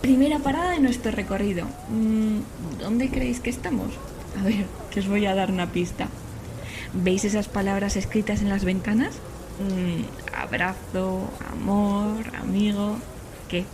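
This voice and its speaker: A woman acting as a young history student from Spain in an audio drama vlog. She is eager, bubbly and chatty, with a medium-pitched voice. Clear ambient noise surrounds her. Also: fairly even intonation, unhurried, natural voice. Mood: cheerful, chatty. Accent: Spanish from Spain. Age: young adult. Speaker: podcast character, student vlogger. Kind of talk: acted first-person vlog monologue.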